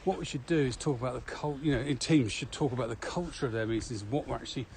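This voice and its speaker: A man's voice: medium pitch, fast. A man talking casually as co-host of a podcast. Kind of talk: casual conversational podcast chat. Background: steady ambient noise.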